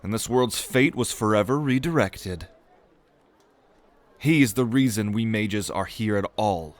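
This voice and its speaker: An ambitious young noble mage played by a man in a fantasy audio roleplay. His voice is on the low side, and he speaks with proud, earnest theatricality, as if delivering grand lore to one companion. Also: a little slow, close to an everyday voice. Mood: reverent, eager. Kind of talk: acted audio roleplay spoken to the listener.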